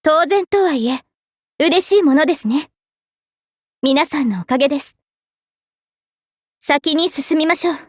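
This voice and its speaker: Young female knight-mage, anime game, high voice, noble, resolute, formal commanding delivery, dry studio sound. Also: a little slow, pauses often, no echo. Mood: composed, pleased. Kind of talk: anime game battle voice lines.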